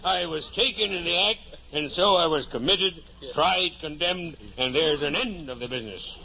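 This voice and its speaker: Unrepentant little crook, wry, highish bright voice, old hissy radio drama. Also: male voice, moderate pace, creaky, muffled recording, heavily acted. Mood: wry, jaunty. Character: cheeky, unrepentant petty thief in chains. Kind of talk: 1950s radio drama acting.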